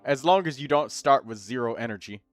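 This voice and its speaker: A man hosting a gaming stream, chatting casually with friends, excitable and swearing, now and then bursting into loud shouts. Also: medium pitch, a little fast, faint music behind. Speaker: streamer host.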